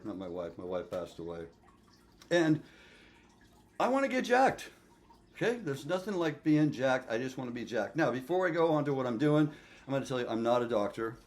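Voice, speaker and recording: A man of sixty talking straight to camera in a loose, profane way. His voice sits at an ordinary middle pitch and jumps up for emphasis, and he keeps checking in with the viewer. It is an unpolished home vlog picked up by the camera's own mic. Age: 60s. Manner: blunt, profane, animated, frequent "okay?" check-ins. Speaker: fitness vlogger.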